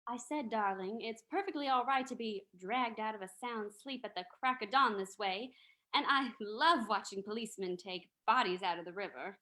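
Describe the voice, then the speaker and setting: A woman's voice: medium pitch, normal speed, sharp tone, close to an everyday voice, poor audio. A woman playing a wry, teasing society lady in an old-time radio reading.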